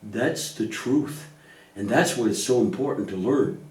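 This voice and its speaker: Mellow, reassuring man in an echoey room. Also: on the low side, a little slow. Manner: reassuring, patient, explanatory. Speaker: adult partner in a church puppet show. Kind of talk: gentle scripted dialogue teaching children about faith. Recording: echoey room, distant microphone.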